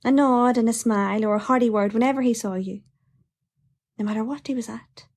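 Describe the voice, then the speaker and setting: An actress with a Belfast accent playing a middle-aged ship's stewardess who fondly recalls people in a letter. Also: female voice, a little high, fairly quick, a little mellow. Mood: fond, reminiscing. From North Belfast, Northern Ireland. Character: middle-aged Titanic stewardess, fond and admiring, recalling colleagues. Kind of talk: dramatic first-person letter reading.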